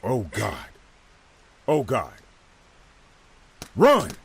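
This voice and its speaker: A man's voice: mid-range voice, wildly swooping pitch, drawn-out words, vocal fry, husky, full character voice. A man playing an intense, emphatic survivor in a horror audio drama. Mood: panicked. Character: urgent male survivor of a horror ordeal.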